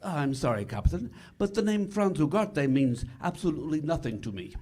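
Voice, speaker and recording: A man playing a dignified, guarded resistance leader in 1940s radio theater style, formal and measured. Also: fairly high pitch, big pitch swings, warm tone.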